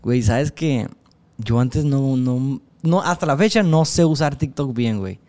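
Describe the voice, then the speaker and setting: A man's voice: mid-range voice, unhurried, warm tone. A man, a podcast guest chatting casually in slangy speech. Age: 20s. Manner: slangy, says "güey" constantly, laughs often. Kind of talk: casual chat.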